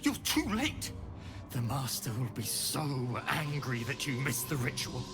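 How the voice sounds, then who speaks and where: An actor playing a fretful, fanatically devoted servant of a vampire lord in a video game, flustered and ominous, prone to stammering. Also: male voice, medium pitch, very animated, measured pace, music in the background. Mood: ominous, agitated. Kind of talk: dramatic video game dialogue.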